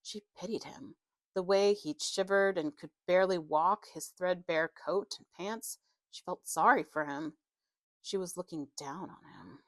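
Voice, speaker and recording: A woman with a slightly low voice narrates a horror novel. She reads as an audiobook narrator, in a dramatic scripted style. The recording is dry and close, with little room around her. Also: measured pace, dry recording.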